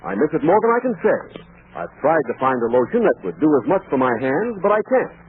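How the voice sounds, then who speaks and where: Animated, high-pitched 1940s radio ad man. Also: fast talker, breathy edge. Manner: emphatic, polished salesmanship. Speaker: radio commercial announcer. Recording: thin, phone-like 1940s radio recording.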